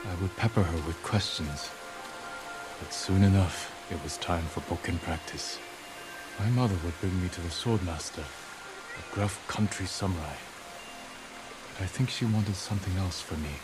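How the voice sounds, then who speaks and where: An actor playing a grim, driven young samurai in a video game, speaking in a terse, resolute way. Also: male voice, low-pitched, moderate pace, music in the background, natural voice, low-quality recording. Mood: reflective.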